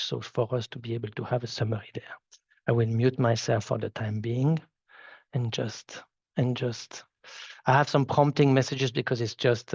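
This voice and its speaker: A man whose first language is French, speaking with a Swiss French accent as he gives a live software demo and often restarts and repeats words. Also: mid-range voice, gravelly, dry recording, muffled recording. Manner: halting, repeats words while clicking through. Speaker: solution architect giving a software demo. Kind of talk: live product demo walkthrough.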